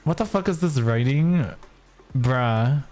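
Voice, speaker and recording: Casting: casual game streamer, medium pitch, unhurried, blunt slangy reactions, faint music behind. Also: expressive, darkish tone. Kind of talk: casual gaming reaction chat.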